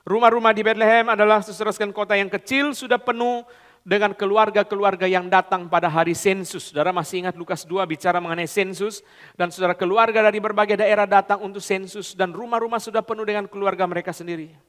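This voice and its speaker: High-voiced pastor in reverberant hall. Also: male voice, steady tone, fast talker. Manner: preaching with big swings from loud high emphasis to low quiet asides; repeats "saudara" constantly, mixes in English phrases and colloquial Jakarta slang. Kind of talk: sermon.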